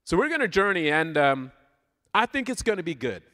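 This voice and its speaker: A man's voice: a little high, sing-song, fairly quick, bright, forceful tone. A man with a Canadian accent gives an informal, playful sermon, conversational and full of questions to his listeners, in a large church hall. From Southern Ontario, Canada. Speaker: guest preacher. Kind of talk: informal sermon with audience interaction. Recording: live hall with long reverb.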